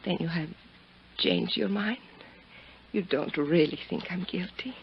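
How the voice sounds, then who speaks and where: An actress playing a proud, volatile Italian countess in 1950s radio drama, haughty and formal in manner. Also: female voice, lowish voice, measured pace, slight background noise. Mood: soft, yielding. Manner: haughty, formal, guarded, flaring into outbursts. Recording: dull 1950s radio broadcast recording.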